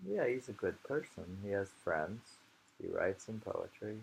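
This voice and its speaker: A man reading poetry aloud informally, with musing, halting commentary and frequent pauses, in an amateur recording. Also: fairly low pitch, animated, creaky, a little hiss or hum, poor audio.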